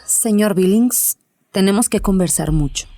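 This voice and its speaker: A woman playing a composed, affable psychiatrist, gentle and professional, in an audio horror drama. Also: medium pitch, unhurried, natural voice. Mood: calm, probing. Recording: faint music behind the voice.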